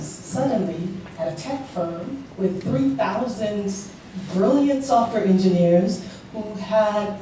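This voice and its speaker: Woman from liberia on a panel, earnest, lots of ums, mellow low voice, far from the mic. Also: a little hiss or hum. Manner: earnest, with frequent ums and restarts. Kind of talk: panel remarks. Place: large packed event room. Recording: echoey hall, voice picked up from far off.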